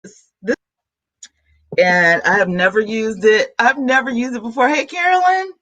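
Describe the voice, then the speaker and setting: Chatty, excitable woman vlogging from home. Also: on the high side, a little slow, muffled recording. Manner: chatty, excitable, talks to chat. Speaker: YouTuber. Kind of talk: casual vlog chat to viewers. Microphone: camera mic, then a new plug-in USB mic.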